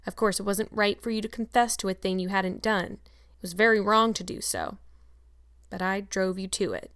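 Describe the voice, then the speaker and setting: A woman reading an audiobook voices a stern, sharp-tongued older farm woman who talks in a brisk, scolding, no-nonsense way. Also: medium pitch, expressive, clean tone, no echo, clean studio sound, close to an everyday voice. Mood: relenting.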